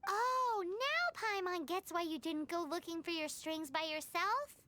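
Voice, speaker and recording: Squeaky, high-pitched little fairy companion. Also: female voice, lively intonation, unhurried, pushed and bright, slight background noise, exaggerated voice. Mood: chirpy, curious. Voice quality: squeaky, childlike. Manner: refers to self in third person, exclaiming. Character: small childlike female fairy companion, chirpy and curious. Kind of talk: anime video game voice acting.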